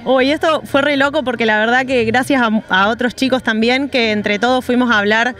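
A cheerful young Argentine woman talks about her travels on a street by parked vans. Her voice sits a touch high and she leans on "y bueno" between thoughts. She speaks into a handheld reporter mic outdoors. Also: fairly even intonation, fairly quick, fairly bright tone. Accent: Argentine Spanish. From Rosario, Argentina. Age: young adult. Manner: cheerful, chatty, many fillers like "y bueno". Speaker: interviewee, traveling artisan. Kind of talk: street interview.

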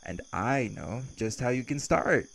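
A man's voice: medium pitch, lively intonation, measured pace, creaky, very noisy. A man playing a cheerful, cocky vampire who teases, flirts and casually threatens in an intimate audio roleplay. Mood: teasing reassurance.

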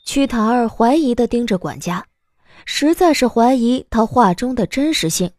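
Female storyteller for scripted drama narration, fairly high pitch, expressive and varied delivery. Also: normal speed, no echo.

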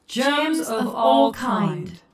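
A woman's voice: fairly high pitch, expressive, drawn-out words, full character voice. Woman voicing echoey, anguished giant gem fusion. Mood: formal. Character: anguished, quarrelsome two-minded giant female gem fusion. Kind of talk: animated comic dub acting. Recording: echoey, slightly distant from the mic. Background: soft music.

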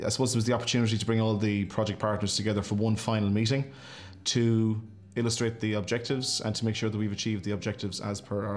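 A man in his thirties giving a formal interview answer in a small office. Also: on the low side.

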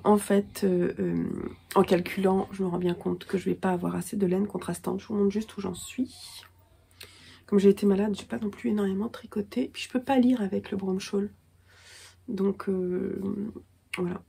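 A woman's voice: medium pitch, steady tone, moderate pace, gravelly, warm tone, husky. A woman in her thirties with a cold, chatting casually and self-mockingly to camera at home about her knitting, with lots of hesitations. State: has a cold. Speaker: knitting vlogger and yarn dyer. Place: small room at home, indoors.

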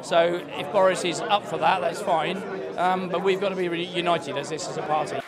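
A man answers firmly and fast in a high voice. A loud crowd surrounds him. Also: steady tone, very noisy. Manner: firm, quick vox-pop answer. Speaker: party member interviewed.

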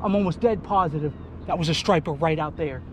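A man's voice: on the high side, brisk, slight background noise. A young man vlogging from a small boat on a lake, chatting and explaining his fishing rig as he works. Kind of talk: casual fishing vlog narration. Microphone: action camera on boat.

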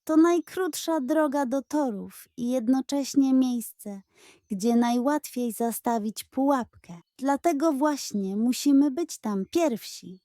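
A woman's voice: sits high, slow, warm tone, dry recording, studio quality, pitched above the performer's own voice. A woman voicing a brave, decisive male Wild West sheriff in a bedtime audio story, talking in a commanding, urgent way. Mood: calm.

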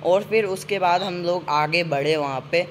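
Rambling young gaming host. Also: male voice, sits high, moderate pace, slight background noise. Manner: rambling, self-correcting, playful swearing. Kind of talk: gameplay chatter and casual narration to viewers.

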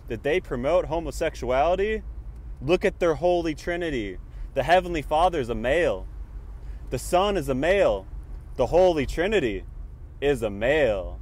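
A young man preaching emphatically into his phone from inside a car, using an earphone mic and saying y'all often. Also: a little high. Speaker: spiritual vlogger. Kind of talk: preachy selfie vlog monologue. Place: parked car. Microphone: earphone mic, phone at arm's length.

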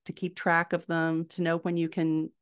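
A middle-aged woman with a low voice speaks as a guest in a conversational interview. She explains her points step by step and leans on the key words. She is recorded over a video call from a room at home. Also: monotone, moderate pace, clear, resonant tone. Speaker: podcast guest, indie fiction author. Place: small furnished room at home, indoors.

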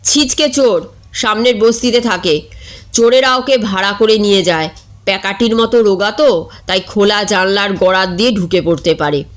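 A woman voicing a brash, brusque youth in a comic story, offhand and quick to scold. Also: medium pitch, voice pushed down, close to an everyday voice.

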